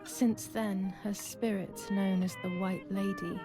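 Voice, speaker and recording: A woman playing a troubled, brooding young woman in a wartime psychological game, narrating in a measured, dramatic, introspective way. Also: medium pitch, level tone, music in the background, natural voice. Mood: somber storytelling. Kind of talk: scripted first-person game narration.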